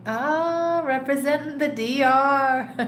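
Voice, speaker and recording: A woman hosting a live tarot reading, a little congested and nasal, chatting casually and greeting viewers by name between soft laughs. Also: high-pitched, extremely slow. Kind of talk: casual live-stream chat. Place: home in a rainy tropical place. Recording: echoey room, faint background noise.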